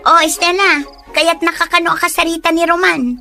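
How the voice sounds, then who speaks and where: A woman's voice: very high voice, steady tone, fairly quick, creaky, pushed and bright, soft background music, heavily acted. A woman playing a protective, inquisitive young friend in an Ilocano radio drama. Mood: concerned.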